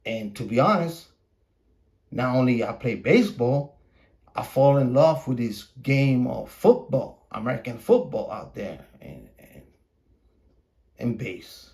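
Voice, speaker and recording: A man who is a native Spanish speaker hosting a podcast, delivering an opinionated casual monologue in a blunt, profane, repetitive and halting manner. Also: medium pitch, expressive, vocal fry, a little mellow. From Puerto Rico. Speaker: podcast host.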